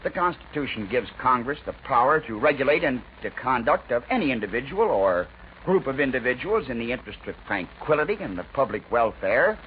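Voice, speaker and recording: A man with an American accent delivers a scripted 1940s radio editorial in an oratorical, emphatic way, with deliberate rhetorical pauses. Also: mid-range voice, very animated. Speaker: radio news commentator. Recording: dull, noisy 1940s radio recording.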